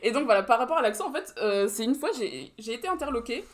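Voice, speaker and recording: A woman's voice: a little high, animated, quick pace, over a noisy crowd. A young woman co-hosting a podcast, talking through prepared points in casual chat with a dry, ironic edge. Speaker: podcast co-host.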